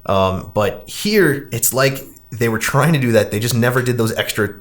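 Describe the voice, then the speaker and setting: A man's voice: medium pitch, animated, moderate pace, a bit bright. A man hosting a podcast, casually recapping a film in a wry, critical, conversational way.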